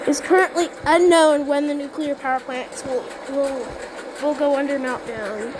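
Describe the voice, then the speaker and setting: A woman giving an improvised mock emergency alert as a broadcast announcer, halting and repeating herself with stumbles and restarts. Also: steady tone. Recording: loud engine-like rumbling noise throughout.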